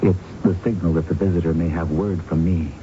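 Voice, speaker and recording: An actor playing a secretive, lovelorn man in a radio drama, speaking in a measured, confiding way. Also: steady tone, warm tone, soft background music, natural voice. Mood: earnest. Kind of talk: 1970s radio drama acting. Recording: muffled noisy old radio broadcast, low rumble.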